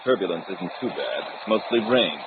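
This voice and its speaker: An actor playing a calm, commanding adventurer hero, measured and unruffled, in the style of an old-time radio serial. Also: male voice, deep voice. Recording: tinny narrow-band radio recording with hiss.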